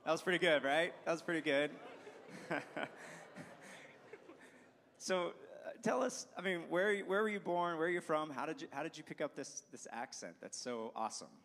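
A man's voice: a little high, normal speed, long pauses, a bit bright. Upbeat man hosting an onstage interview. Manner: upbeat, restarts often. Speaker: school staff host. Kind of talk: stage interview. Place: church sanctuary stage. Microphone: handheld mic. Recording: long reverb of a large hall.

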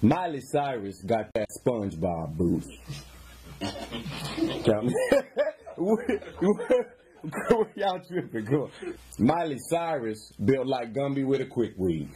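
A man's voice: mid-range voice, big pitch swings, slow pace, pauses often, dark tone. An American man in his thirties hosting a comedy show, delivering roast one-liners and amused by his own jokes. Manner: cracks himself up between lines. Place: desk set, indoors.

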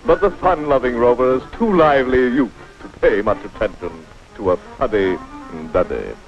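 An actor playing a pompous mock-heroic narrator of a 1940s cartoon, florid and theatrical. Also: male voice, mid-range voice, lively intonation, moderate pace, close to an everyday voice. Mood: arch, breezy. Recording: muffled 1940s film soundtrack, music behind.

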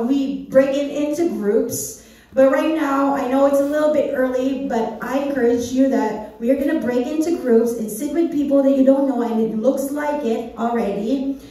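A woman's voice: high voice, mellow. A woman, giving a chatty church message on a small stage and asking the audience questions. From Philippines. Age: 30s. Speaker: church speaker. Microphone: handheld mic, recorded from far back. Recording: echoey hall, distant phone camera.

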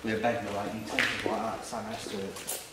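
A man's voice: fairly low pitch, little pitch movement, brisk. Male explorer, casual remarks from far off, very noisy camera audio, strong echo. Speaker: urban explorer. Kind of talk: casual chat while exploring. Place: inside an abandoned hospital building. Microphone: camera mic from a distance. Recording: very noisy, echoey, far from mic.

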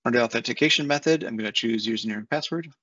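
A man in his thirties walking through a software setup on a screen share, talking casually step by step with fillers, recorded on a desk mic at home. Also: medium pitch, rapid-fire, no room sound. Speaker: software consultant. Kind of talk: screen-share tutorial walkthrough. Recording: dull lo-fi dry sound.

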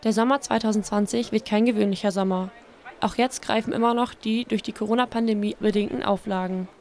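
A woman's voice: medium pitch, steady tone, fairly quick, a little mellow. A woman reading scripted narration for a news report as its reporter.

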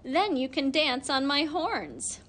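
A menacing horned cow, as a woman voices it, speaking slowly. A storybook threat. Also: sits high, animated, fairly bright tone, pitched above the performer's own voice, full character voice.

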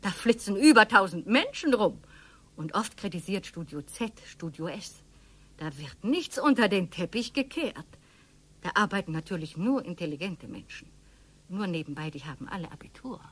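A woman playing Karin, an eccentric sister-in-law who spins wild stories in a radio play, with a husky, lively voice. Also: lowish voice, moderate pace. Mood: boastful. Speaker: radio drama actor. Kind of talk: amateur radio play reading.

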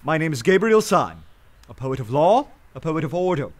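An actor playing an earnest, respectable poet of law and order in a radio play, speaking with literary rhetoric. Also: male voice, fairly high pitch, big pitch swings. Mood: fervent debate. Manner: measured when narrating, fervent and rhetorical in debate. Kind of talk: radio drama narration and acting. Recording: music bed under the voice.

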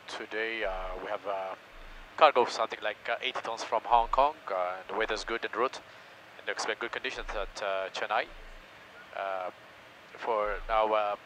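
Hesitant pilot over engine hum. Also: male voice, lowish voice, low-quality recording. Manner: hesitant, many uh fillers, technical explanations. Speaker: airline first officer. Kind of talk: explaining the cockpit to camera. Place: airliner cockpit in flight. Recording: steady engine noise behind.

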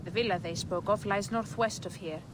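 A woman's voice: mid-range voice, a little fast, noisy background, close to an everyday voice. An actress playing a grieving, stubborn young farm woman who speaks in a guarded, resolute way.

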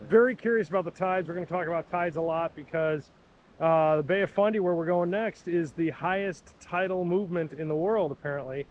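Middle-aged male hiking vlogger, fairly high voice, rambling and curious, dull lo-fi outdoor sound. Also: fairly quick, a little hiss or hum. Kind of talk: casual walking commentary. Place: rocky seaside trail outdoors. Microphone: 360 camera on a pole.

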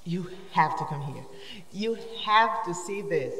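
A woman's voice: fairly low pitch, animated, unhurried, pushed and bright, heavily acted. A woman playing a headstrong, curious cave explorer in an audio drama, speaking in an eager, goading, dramatic way. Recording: echoey cave-like reverb, distant, faint noise.

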